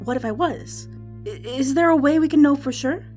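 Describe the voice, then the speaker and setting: A woman playing a chatty, excitable and bloodthirsty fantasy arena announcer in an audio drama. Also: high-pitched, a little fast, close to an everyday voice. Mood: anxious. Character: gleeful, bloodthirsty female fantasy arena announcer, easily worried. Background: music.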